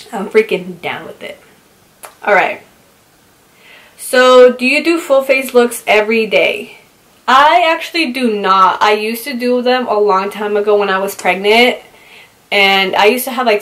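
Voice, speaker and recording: A woman's voice: medium pitch, a bit bright. Woman, 20s, beauty vlogger, bubbly and candid, with loose filler-heavy chat. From California, raised in Utah. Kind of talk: casual get-to-know-me Q&A.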